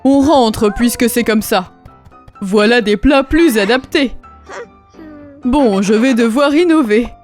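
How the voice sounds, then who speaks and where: Bossy papa orc in the kitchen, highish voice, singsongy rhymes, soft music behind. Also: female voice, slow pace, long pauses. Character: fussy orc father, home cook. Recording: cartoon dub with music behind.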